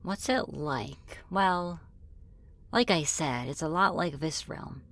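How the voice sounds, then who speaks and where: Friendly demoness woman acting for ASMR roleplay: low pitch, gentle and flirty, hesitant, reassuring delivery. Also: slow pace, heavily acted. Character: friendly, flirty, apologetic female demon ruling her own realm. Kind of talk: ASMR roleplay spoken to the listener.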